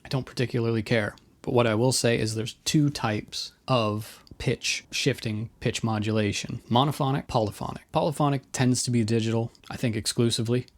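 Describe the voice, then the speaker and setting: A man presenting a casual explainer in an offhand, blunt manner. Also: mid-range voice. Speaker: video presenter on guitar effects.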